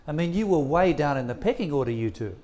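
A man's voice: fairly high pitch, lively intonation, fast, warm tone. An older man with an Australian accent, interviewing guests on stage as the host of an evening event.

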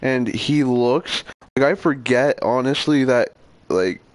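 A teenage boy in high school chatting over game voice chat, laid-back, teasing and laughing often. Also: takes it slowly, no echo, rough recording. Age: teens. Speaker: online game player. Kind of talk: casual voice chat while gaming.

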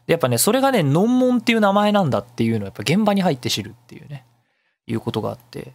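A man's voice: a little high, very animated, racing, vocal fry, dry recording. A man hosting a casual solo radio talk, chatty and emphatic as he explains things step by step. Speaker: radio show host. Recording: music behind the voice. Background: background music.

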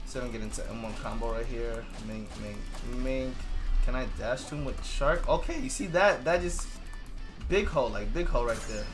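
A young man giving loose, excitable commentary over his own gameplay, swearing freely and going hoarse from losing his voice. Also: mid-range voice, moderate pace, music in the background. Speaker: gaming YouTuber.

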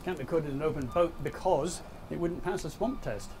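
A man's voice: fairly high pitch, fairly quick. A middle-aged man, explaining a boat survey test practically to a group at a marina, thinking aloud with restarts. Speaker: surveyor demonstrating a heel test. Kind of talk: on-site technical demonstration. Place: outdoor marina, on a small boat. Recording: outdoor noise around voices.

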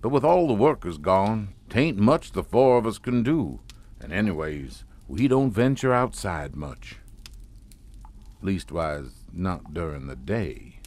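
Slow, deep Southern patriarch. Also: male voice, lively intonation, darkish tone, full character voice. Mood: folksy, ominous. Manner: slow, drawling, folksy courtliness. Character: courtly, sinister old Southern plantation patriarch. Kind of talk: audio drama acting.

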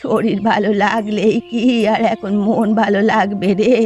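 A woman's voice: mid-range voice, fairly even intonation, moderate pace, darkish tone, highs cut off, faint music behind, lightly acted. A woman voicing a proud, easily wounded elderly village mother in a cartoon. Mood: sad, grateful. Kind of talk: Bengali cartoon dialogue.

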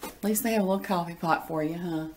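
Woman in her thirties, chatty and folksy, lowish voice, road noise from a moving car. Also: fast, a little mellow. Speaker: vlogger. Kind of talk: casual travel vlog. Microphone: phone held at arm's length.

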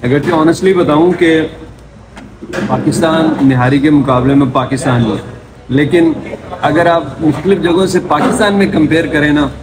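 A man's voice: on the high side, moderate pace. A man in his thirties with a Pakistani accent, chatting casually to viewers as a travel vlogger, filming himself on a phone. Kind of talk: casual vlog narration to camera. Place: busy restaurant and open train station. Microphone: phone at arm's length. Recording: noisy restaurant and street ambience.

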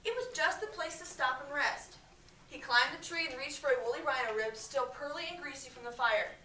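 An American woman reads a story aloud in a high, projected schoolteacher voice. She is expressive and breaks off to question her pupils. She is picked up from across an echoey classroom with faint noise behind her. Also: fairly quick, far from the mic. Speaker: elementary school teacher. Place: medium-sized school classroom, indoors. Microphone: far camera.

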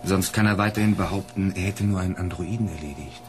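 A man's voice: low voice, quick pace, faint music behind, natural voice. Coolly suspicious plainclothes policeman played by a man. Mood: cool, pointed. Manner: polite, cool, pointed. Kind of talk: German radio drama acting.

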